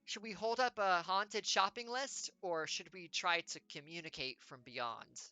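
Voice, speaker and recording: Woman streamer reading a text adventure, joking around, low but bright and clear voice. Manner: playful, wry, quick asides. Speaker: game streamer. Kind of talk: reading a text adventure aloud with jokey asides.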